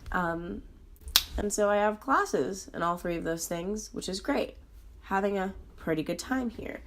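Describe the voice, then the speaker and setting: A young woman in her twenties, hoarse and sick, talking earnestly about her struggles in a personal vlog, with frequent pauses and ums. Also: mid-range voice, background noise. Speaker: vlogger.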